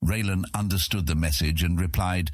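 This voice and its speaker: A man's voice: low voice, fairly even intonation, normal speed, dry recording, studio quality. A man narrating a scripted fantasy audiobook in a dramatic storytelling style.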